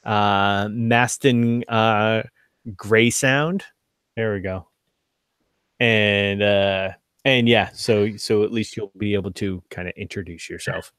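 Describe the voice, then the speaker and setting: Casual, halting man running a tabletop game. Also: on the low side, fairly even intonation, a bit bright, clear, resonant tone, no echo, studio quality. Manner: halting, self-deprecating. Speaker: game master.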